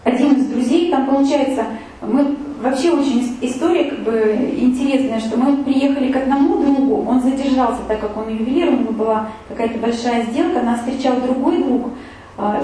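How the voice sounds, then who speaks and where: A woman's voice: high-pitched, dark tone, recorded from a distance, slight background noise. Chatty woman talking in an echoey room. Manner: chatty, full of fillers and restarts. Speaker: speaker giving a travel talk with photos. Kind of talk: casual spoken travel story to an audience.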